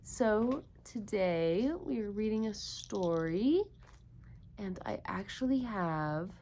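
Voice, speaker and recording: A woman's voice: mid-range voice, lively intonation, slow pace, darkish tone, a little hiss or hum. A woman doing an interactive storytime for young children, encouraging them with repeated questions. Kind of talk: interactive picture-book read-aloud for young children.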